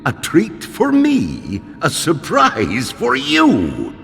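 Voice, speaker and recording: Gravelly undead pirate villain, theatrical and gloating, slow-rolling delivery, cavernous reverb. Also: male voice, fairly high pitch, wildly swooping pitch, a bit bright, faint music behind, heavily acted. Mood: gloating menace. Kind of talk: video game character acting.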